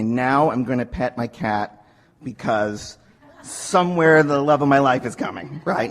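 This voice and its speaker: A man sharing a personal story informally with an audience, animated and halting, repeating words and acting out quotes. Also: medium pitch. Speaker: workshop participant sharing his story.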